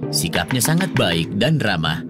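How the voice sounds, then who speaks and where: A man's voice: fairly low pitch, sing-song, normal speed. A man narrating a scripted children's story as the storyteller. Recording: music behind the voice. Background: music.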